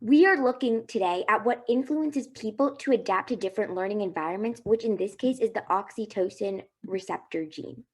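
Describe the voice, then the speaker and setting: A woman's voice: medium pitch, a little fast, hardly any pauses, husky. A young woman from Delaware presenting a student research proposal, reading earnestly from a script with small slips.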